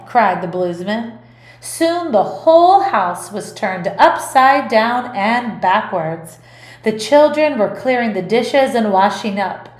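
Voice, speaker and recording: A woman music teacher reading a picture book aloud in an expressive, deliberate storytelling delivery. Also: fairly high pitch, echoey room.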